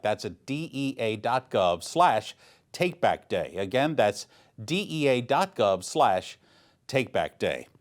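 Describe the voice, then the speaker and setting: A man reading scripted local news as the anchor of a community newscast. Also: medium pitch.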